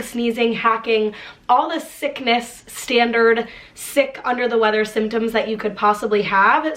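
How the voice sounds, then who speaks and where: A woman's voice: a little high, a bit bright. A young woman vlogging at home, chatting casually as she walks through her things, with plenty of filler "like.". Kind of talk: casual chat, walking through items. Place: at home indoors.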